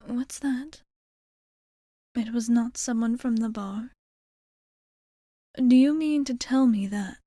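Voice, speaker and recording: A woman voicing a composed, protective vampire bartender in a soft, formal ASMR roleplay, half-whispered close to the microphone. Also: on the high side, no room sound. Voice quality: soft, breathy, often near a whisper. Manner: formal, measured, hesitant wording. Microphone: close microphone, dry sound.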